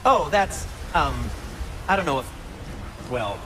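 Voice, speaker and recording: Squeamish travelling craftsman for a game: raised pitch, swooping intonation, hesitant, chatty politeness. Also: big pitch swings, takes it slowly, noisy background. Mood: fussy, cheerful. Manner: fussy, hesitant, rambling. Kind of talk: video game cutscene acting. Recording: music behind the voice.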